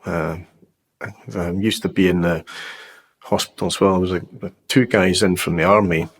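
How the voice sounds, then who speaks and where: A man in his fifties telling his life story in an interview, unhurried and halting, with plenty of fillers and restarts. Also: low-pitched, steady tone, husky. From Scotland. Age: 50s. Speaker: guest sharing his testimony. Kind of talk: personal testimony interview.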